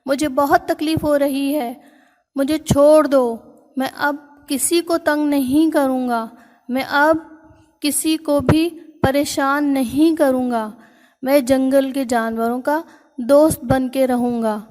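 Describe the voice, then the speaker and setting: A woman voicing a big, boastful male elephant who cries loudly and begs. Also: high-pitched, in a large hall, lightly acted. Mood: pleading. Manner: loud, pleading cries.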